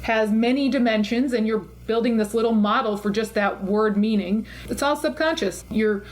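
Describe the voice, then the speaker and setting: A middle-aged woman hosting an interview, speaking in a calm, reflective way as she explains ideas. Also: a little high, normal speed. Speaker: host. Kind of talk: casual interview chat.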